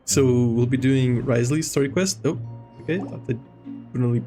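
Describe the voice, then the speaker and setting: A man's voice: medium pitch, moderate pace, dark tone, soft background music. A man chatting casually as a game stream host, rambling and hesitant, prone to giggling. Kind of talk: casual livestream chat.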